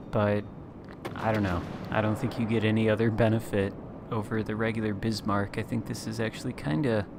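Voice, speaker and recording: A man chatting casually as a guest while playing a naval battle game, talking in a smooth late-night radio voice. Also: on the low side, background noise. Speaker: guest content creator. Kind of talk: casual gameplay chat.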